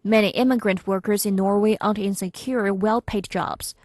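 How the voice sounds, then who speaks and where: A woman reading a scripted news report in a measured, formal manner. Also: mid-range voice, steady tone, a little mellow, no room sound, studio quality.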